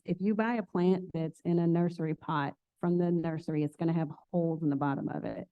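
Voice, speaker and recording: A woman's voice: deep voice, dark tone, no echo. A woman who owns a plant shop, talking earnestly and casually to an audience and answering their questions. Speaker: plant shop owner giving a talk. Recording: tinny phone-like sound.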